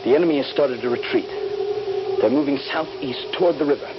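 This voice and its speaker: An actor playing a trapped, wounded Union soldier, fearful and halting, in a 1970s radio drama. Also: male voice, medium pitch, close to an everyday voice. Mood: dutiful, hopeful. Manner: halting, dramatic, intense delivery. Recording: noisy, dull 1970s radio drama recording.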